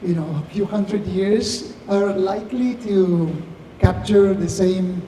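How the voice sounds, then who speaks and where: An Argentinian man in his fifties speaks from across an echoey hall. His voice is high for a man and very soft-edged and mellow, and he feels his way through thoughts in a halting, reflective way. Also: fairly even intonation. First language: Spanish. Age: 50s. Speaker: tech founder, guest speaker. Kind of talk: live Q&A, anecdotal talk. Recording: distant echoey hall, faint noise.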